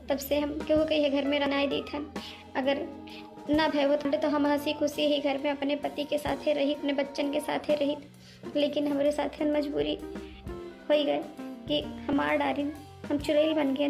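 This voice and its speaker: Woman playing high-voiced, moody Awadhi ghost witch. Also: monotone, normal speed, gravelly. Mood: sorrowful, brooding. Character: moody, sorrowful ghost witch in a young bride's body. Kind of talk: amateur cartoon comedy voice acting. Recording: music behind the voice.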